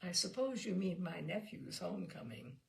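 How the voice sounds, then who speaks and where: A woman playing a brisk, firm woman who runs a household in a novel, dryly polite and decisive. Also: fairly low pitch, expressive, creaky.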